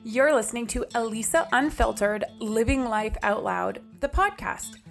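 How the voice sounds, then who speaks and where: A woman's voice: a little high, very animated, moderate pace, soft background music. A woman in her late thirties hosting a motivational podcast, chatty and bubbly, laughing often. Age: 30s. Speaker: podcast host. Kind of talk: upbeat motivational podcast monologue.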